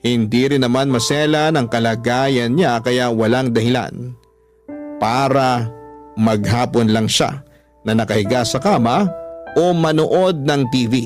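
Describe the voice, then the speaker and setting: A man's voice: medium pitch, unhurried. A man with a Filipino accent reading a first-person letter as scripted dramatic narration for a radio story. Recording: music bed behind the voice. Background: background music.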